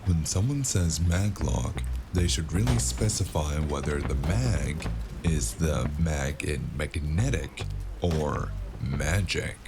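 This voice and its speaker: Man voicing a gravelly, very deep noir antihero. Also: full character voice. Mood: dry, wry. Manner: wry, brooding, unhurried. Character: world-weary male noir freelancer narrating cyberpunk fantasy job. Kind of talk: scripted first-person noir audiobook narration. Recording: constant loud music underneath. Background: loud music.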